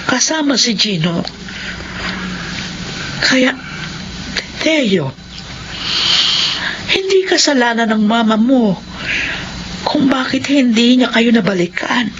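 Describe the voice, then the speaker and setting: A woman playing a caring, earnest aunt in a Filipino radio drama, speaking in a measured, consoling way. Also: fairly high pitch, fairly bright tone, lo-fi sound, slight background noise. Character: caring, earnest aunt who raised the children.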